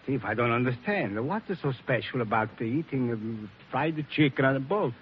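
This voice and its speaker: A man's voice: medium pitch, animated, normal speed, natural voice. Man playing eager sidekick, old radio. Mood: jovial, eager. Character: excitable, friendly Italian man, loyal helper and food lover. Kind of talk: 1940s radio acting. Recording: muffled, noisy 1940s radio recording.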